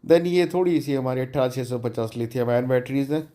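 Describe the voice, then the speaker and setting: A man's voice: medium pitch, brisk, dark tone. A man giving an informal electronics demonstration as a DIY host, chatty and colloquial, mixing English terms into his speech.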